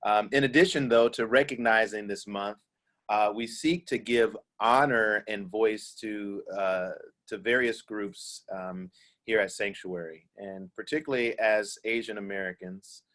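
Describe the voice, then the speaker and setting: A male pastor with a lowish voice leads a panel talk over a video call. He speaks earnestly but haltingly, leaning on fillers as he gathers his thoughts. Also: fairly even intonation, a bit bright. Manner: hesitant, many fillers, earnest. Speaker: pastor and moderator.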